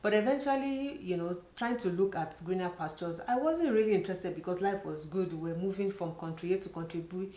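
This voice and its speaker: A woman's voice: lowish voice, a little fast, hardly any pauses, warm tone. A middle-aged woman from Nigeria with a Nigerian accent, telling her own story to her channel in an earnest, chatty way, often saying "you know". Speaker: vlogger. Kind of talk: personal storytelling to camera. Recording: echoey room.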